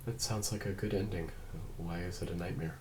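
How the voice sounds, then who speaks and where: A man playing an earnest, reserved android detective in a drama read-through, speaking in measured, precise, formal phrases. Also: sits low, steady tone, noisy background. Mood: gentle concern. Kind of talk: table read of a drama script.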